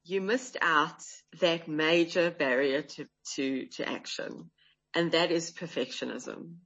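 A woman's voice: low-pitched, steady tone, slow, fairly bright tone, muffled recording. A woman hosting an interview, asking probing questions and encouraging her guest. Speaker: host.

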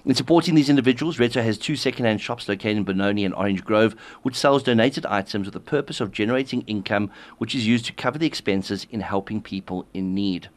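A male radio host with an ordinary middle-pitched voice. He reads a scripted introduction smoothly, then asks his guest direct, probing questions. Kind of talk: radio interview, reading an introduction.

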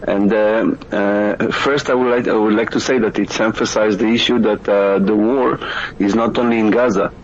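A man's voice: on the low side, fairly even intonation, moderate pace. A man with an Israeli accent giving expert analysis in an interview, speaking hesitantly and searching for words. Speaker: guest expert, intelligence researcher and lecturer. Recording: muffled lo-fi radio link with clear noise.

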